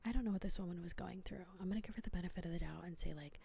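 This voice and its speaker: A young woman from the Southern United States telling a personal story in a soft, hesitant whisper, full of "like" fillers. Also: lowish voice, very fast, creaky, slightly rough. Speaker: vlogger.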